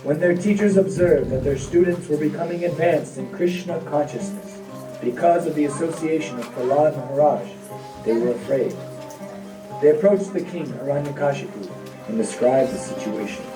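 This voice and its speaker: Guy narrating a puppet show, solemn and measured, echoey and a bit far off, music playing. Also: fairly high pitch. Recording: echoey, distant, music behind.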